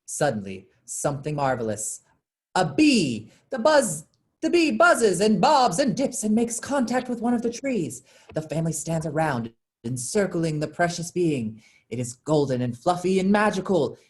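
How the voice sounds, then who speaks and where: A woman's voice: sits low, sing-song, normal speed, a little mellow, breathy edge. A woman narrating a staged reading and moderating its informal question session. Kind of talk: reading stage directions, then informal audience Q&A.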